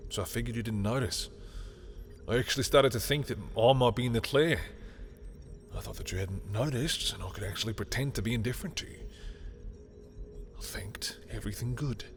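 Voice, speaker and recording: A man playing a lovestruck, bitter villain in an intimate audio roleplay, speaking in a halting, emotional way. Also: mid-range voice, soft background music, natural voice. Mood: vulnerable, confessing. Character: lovestruck, bitter male villain confessing to a rival.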